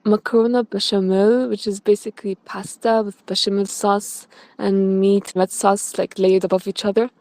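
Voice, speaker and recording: Young woman, interview guest, Egyptian-born student raised abroad, casual and thoughtful, mid-pitched, dry recording. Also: level tone, normal speed, darkish tone, dry recording. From Egypt, raised in the UAE. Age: young adult. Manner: friendly, thoughtful, loose with fillers.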